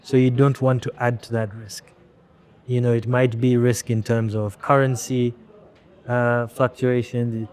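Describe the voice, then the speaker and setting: A man from Rwanda, a fashion brand founder, answering interview questions candidly in a halting way with frequent restarts. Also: medium pitch, level tone, a little mellow.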